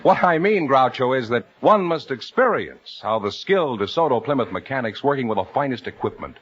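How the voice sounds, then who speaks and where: A man's voice: mid-range voice, lively intonation, brisk, husky. An American man announcing on 1950s radio in a polished, salesmanlike manner. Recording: dull 1950 radio recording, faint audience.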